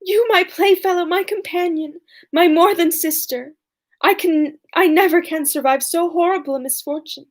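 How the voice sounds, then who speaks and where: A woman reading aloud as an anguished, indignant young gentlewoman, in an impassioned, declamatory way. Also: very high voice, moderate pace.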